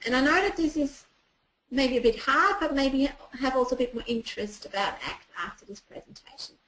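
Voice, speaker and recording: A woman with an Austrian German accent gives an online presentation as a clinical psychologist, explaining patiently and pausing on frequent ums. Her voice sits high, and the sound rings around an echoey room.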